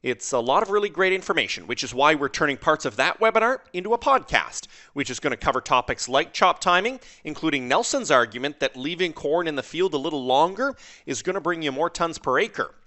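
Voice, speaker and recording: A man hosts a farming podcast with a scripted introduction, his voice pitched a touch high and bright. His read is upbeat, with a lively rise and fall. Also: brisk, hardly any pauses. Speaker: podcast host.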